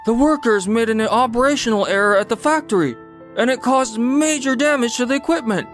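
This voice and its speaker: A woman's voice: fairly high pitch, expressive, a little fast, faint music behind, lightly acted. A woman playing an anxious, supportive junior office colleague in a manga dub. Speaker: dub voice actor.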